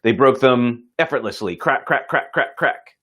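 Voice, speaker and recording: A man telling a motivational story in an informal, folksy and earnest way, pausing for dramatic effect. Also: medium pitch, lively intonation, fairly bright tone. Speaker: host telling a moral story.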